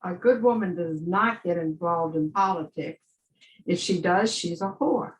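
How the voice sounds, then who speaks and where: A woman performing a dramatic stage monologue as a proud, vengeful dead first lady, measured and defiant. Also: fairly low pitch, natural voice, low-quality recording. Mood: bitter, defiant. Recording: faint background noise with clicks.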